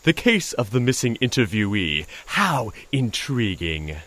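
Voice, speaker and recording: A man plays an enormous, cryptic toad from an audio drama. He speaks formally and with measured pomp at a middling male pitch, his intonation animated and dryly witty. Crickets sound behind him.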